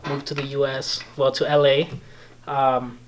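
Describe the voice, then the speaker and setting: A man in his late twenties, born in El Salvador and a native Spanish speaker, with a slight Belizean Creole-tinged English accent, telling his story in a relaxed, halting way full of fillers, recorded by a camera a few metres off in a small office. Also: mid-range voice, slight background noise. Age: late 20s. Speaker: interviewee. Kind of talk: casual storytelling chat. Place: small office room, indoors. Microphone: camera mic at a few metres.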